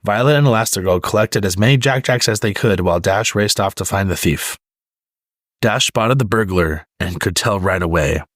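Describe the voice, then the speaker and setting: A man's voice: on the low side, normal speed, fairly bright tone, no room sound, studio quality. A man narrating a children's storybook as a read-aloud. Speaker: storybook reader.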